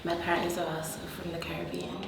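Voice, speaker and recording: A woman's voice: lowish voice, completely flat delivery, fast, slight background noise. A woman originally from America, chatting casually as a guest in a group discussion. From United States. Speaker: panel guest. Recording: echoey room, distant mic, chatter behind.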